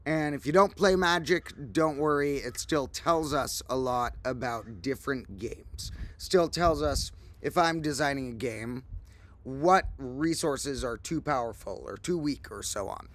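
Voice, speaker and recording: A man hosting a casual livestream, his voice slightly hoarse, telling a rambling story with animated asides. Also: medium pitch, unhurried. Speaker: host. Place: outdoors, windy.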